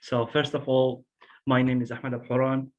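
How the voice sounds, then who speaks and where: A man, telling his personal story slowly and formally over a video call. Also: mid-range voice, flat delivery, lo-fi sound. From Syria. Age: 20s. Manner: slow, careful, formal. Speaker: storyteller guest.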